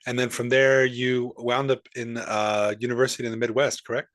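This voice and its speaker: A friendly male host leads a relaxed interview, upbeat and chatty, often restarting his sentences. His voice has a middle pitch. The sound is dry and close, with no room around it. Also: moderate pace, no echo. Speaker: podcast host. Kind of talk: casual interview chat.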